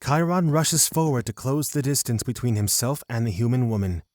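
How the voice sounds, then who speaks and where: A man reads scripted fantasy narration as a storyteller. His voice sits at a medium pitch, recorded close and dry. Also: lively intonation, moderate pace, a little mellow, no echo.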